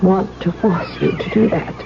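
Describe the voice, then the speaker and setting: An actress playing a sorrowful, resigned young wife with quiet bitterness, measured and wistful in a 1970s radio drama. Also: female voice, mid-range voice, gravelly, lightly acted. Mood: resigned, mournful. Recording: dull, noisy vintage radio recording.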